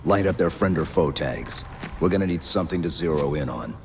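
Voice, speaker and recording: An actor playing a terse, stoic armored supersoldier with a calm military manner in a game cutscene. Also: male voice, a bass voice, brisk, gravelly, slight background noise, heavily acted. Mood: steady. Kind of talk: dramatic game cutscene acting.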